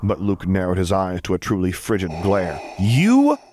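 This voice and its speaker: A man reading fiction as an audiobook narrator, in an expressive scripted storytelling style. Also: sits low, brisk, a little hiss or hum.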